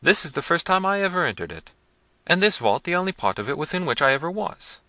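A man voicing a bold young peasant who answers calmly and firmly. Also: a little high, quick pace, no echo, voice pushed up. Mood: steady. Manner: calm, firm, dignified.

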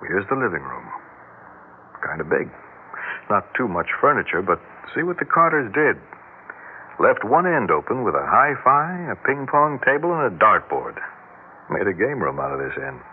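Low-voiced, matter-of-fact house guide. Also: male voice, sing-song, moderate pace. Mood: casual, musing. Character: matter-of-fact, observant man showing a house. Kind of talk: 1960s radio drama acting, conversational. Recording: tinny, faintly noisy 1960s radio recording.

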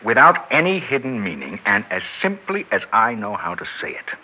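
An actor in a 1960s radio drama playing a weary, exasperated husband, pleading and reasoning. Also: male voice, fairly low pitch, very animated, moderate pace, slight background noise. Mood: strained, reasoning. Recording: dull 1960s radio recording.

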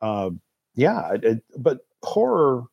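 A man giving a casual interview as a film producer and guest, speaking in a halting, thoughtful way. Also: mid-range voice, sing-song, gravelly, slightly rough, muffled recording. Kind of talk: casual talk-show interview.

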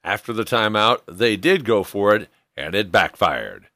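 A man's voice: on the low side, animated, a little slow, sharp tone, no room sound, studio quality. A man hosting a sports show, narrating a scripted recap of a football game.

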